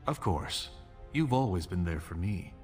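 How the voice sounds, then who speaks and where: Deep-voiced scheming dwarf prince. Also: male voice, lively intonation, darkish tone, soft background music. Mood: earnest reassurance. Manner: measured, persuasive, conspiratorial. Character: smooth-talking scheming young dwarf prince, younger brother. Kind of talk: video game dialogue.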